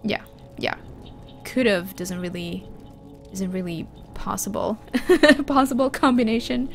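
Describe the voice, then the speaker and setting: A woman's voice: mid-range voice, takes it slowly, vocal fry, soft background music. A woman from Finland, a native Finland Swedish speaker, chatting casually on a livestream and answering her chat, with frequent restarts. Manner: chatty, restarts often, reads chat aloud. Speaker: streamer.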